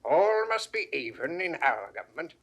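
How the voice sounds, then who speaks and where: An actor in a 1960s Shakespeare audio drama playing a grave, plain-spoken head gardener with deliberate, declamatory delivery. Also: male voice, fairly high pitch, big pitch swings, a bit bright. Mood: commanding.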